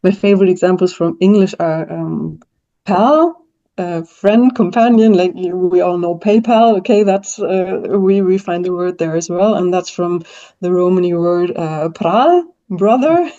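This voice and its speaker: A woman's voice: fairly low pitch, measured pace, mellow. A German-speaking woman with a German accent, a linguist answering interview questions in a thoughtful way, with many fillers and restarts. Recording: dull lo-fi sound.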